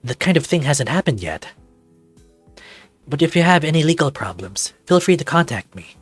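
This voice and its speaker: A man's voice: on the high side, sing-song, moderate pace, husky, dry recording, soft background music, pitched above the performer's own voice. A man voicing a proud, self-assured dragon lawyer in a visual novel game, in a composed manner. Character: suave, proud male dragon lawyer in a suit.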